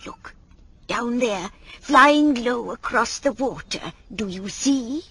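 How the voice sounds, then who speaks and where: An actress playing a tender but stern old woman mentor in an audio drama, measured and hushed, with dramatic pauses. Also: a little high, lively intonation, slight background noise, full character voice. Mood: hushed wonder. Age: older.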